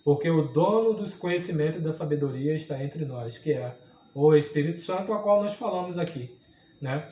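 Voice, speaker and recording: A man with a high-pitched voice gives an earnest Bible lesson. He teaches at an unhurried pace and keeps checking in with his class. He is recorded from some distance in an echoey room, with a faint hum of ambience behind him. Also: dark tone. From southern Brazil. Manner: earnest teaching, frequent tag questions. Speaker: Sunday school teacher. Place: church room.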